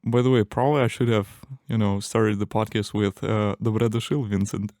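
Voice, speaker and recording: Low-voiced podcast host, dry sound. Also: male voice, flat delivery, fairly quick, darkish tone, dry recording. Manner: hesitant questions with many fillers. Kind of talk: casual interview chat.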